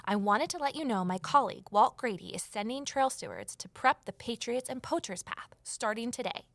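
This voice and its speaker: An actress playing a determined, resourceful amateur sleuth in an audio drama, brisk and persuasive as she narrates and talks. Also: fairly high pitch, lively intonation, fairly bright tone, no room sound. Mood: eager, persuasive. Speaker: narrator and lead character.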